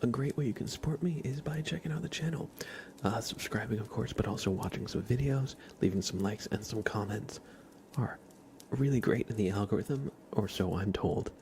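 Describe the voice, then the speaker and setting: Hushed, low-voiced man with creaky edge. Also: moderate pace, slight background noise. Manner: hushed, often slipping into whispers. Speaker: ASMR livestream host. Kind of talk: soft-spoken ASMR livestream chat.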